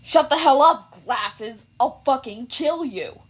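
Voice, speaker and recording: A woman's voice: high-pitched, lively intonation, takes it slowly, husky, full character voice. A woman voicing a hot-tempered teenage boy bully who snarls threats. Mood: angry, menacing.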